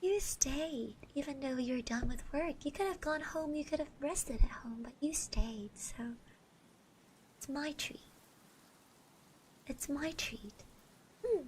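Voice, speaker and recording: Woman doing a shy sweet classmate girl for asmr roleplay, high voice, really slow n hesitant, stammers a bit. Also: a little hiss or hum. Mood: embarrassed, soft. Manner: hesitant, stammering, often dropping to soft murmurs and whispers. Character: shy, sweet schoolgirl classmate, grateful and bashful. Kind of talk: ASMR roleplay, addressed to the listener.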